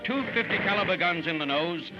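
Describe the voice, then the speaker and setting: A man's voice: high-pitched, lively intonation, fairly quick, dull audio. An American man reading a scripted 1940s newsreel in a dramatic, punchy way over music. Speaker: newsreel narrator. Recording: band-limited 1940s newsreel soundtrack, loud music. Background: loud newsreel music.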